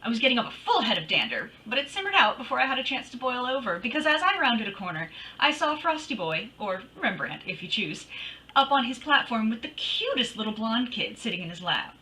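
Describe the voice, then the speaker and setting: A woman's voice: a little high, very animated, a little fast, bright, forceful tone, distant mic, slight background noise, poor audio. A woman playing a wry, wisecracking private detective in an old-time radio drama. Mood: irritated. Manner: wry, snappy.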